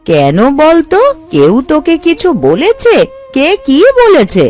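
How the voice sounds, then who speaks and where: A woman playing a gentle, protective middle-aged mother in a cartoon, consoling and advising. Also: sits high, huge pitch swings, unhurried, full character voice. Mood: concerned, consoling. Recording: light background music.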